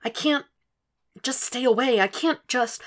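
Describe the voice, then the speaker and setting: A woman voicing a distraught young woman who argues on the phone and pleads for reassurance. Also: unhurried. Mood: pleading. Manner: pleading, high-strung, shouting in anger.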